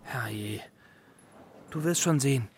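A man's voice: medium pitch, animated, takes it slowly. A man playing a timid, bookish young scholar in a children's radio drama, kindly, earnest and a little hesitant. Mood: gentle, earnest. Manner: hesitant, earnest, slightly fussy.

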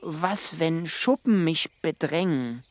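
An actor in a children's radio play playing a naive, proud young would-be sailor, earnest and defiant. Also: male voice, fairly high pitch, animated, slow pace. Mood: doubtful.

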